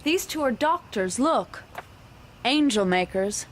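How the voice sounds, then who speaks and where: A woman's voice: on the high side, lively intonation, slow. A woman playing a devout, judgmental handmaid in an audio drama, prim and controlled in dialogue. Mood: righteous. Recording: light ambient scene noise.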